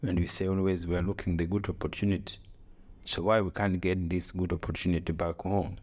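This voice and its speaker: A middle-aged man from Africa talking in casual conversation, earnest and halting, with grammar and repeated phrases. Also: deep voice, level tone, darkish tone. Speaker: podcast co-host, father.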